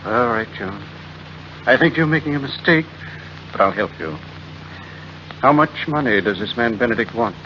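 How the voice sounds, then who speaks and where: A man's voice: mid-range voice, animated, normal speed, pauses often, close to an everyday voice. An actor playing a woman's indignant, protective friend in old radio drama, blunt and scornful in manner. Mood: stern scorn. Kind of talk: 1940s radio acting. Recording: dull, noisy old radio recording.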